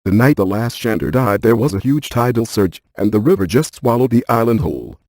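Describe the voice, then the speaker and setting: A man playing a brainy, stiff ghost-hunting scientist in a video game, speaking in a precise, clinical deadpan. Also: medium pitch, fairly quick, no echo, studio quality, lightly acted. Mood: deadpan, analytical.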